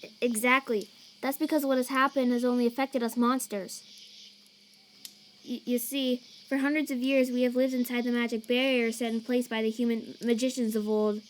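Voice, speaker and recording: A woman voicing a nervous female monster scientist in a fan comic dub, earnest and anxious, with a halting stammer. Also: a little high, little pitch movement, slight background noise, close to an everyday voice. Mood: hesitant, explanatory. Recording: chirping crickets and night ambience behind.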